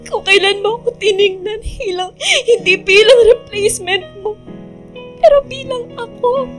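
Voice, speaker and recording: A woman's voice: very high voice, moderate pace, faint music behind. A woman playing a heartbroken young woman in a radio drama, crying and pleading bitterly. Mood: crushed, crying. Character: heartbroken young woman Shane, bitterly confronting the man she loves. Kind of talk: emotional Filipino radio drama acting.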